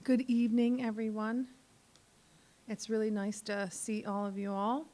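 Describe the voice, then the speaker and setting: A woman's voice: fairly high pitch, level tone, unhurried, warm tone. A woman presenting her own artwork to a small audience, informal, with many repeats and fillers. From Bahamas and Virgin Islands. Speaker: guest artist presenting her work. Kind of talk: casual presentation talk. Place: community meeting room.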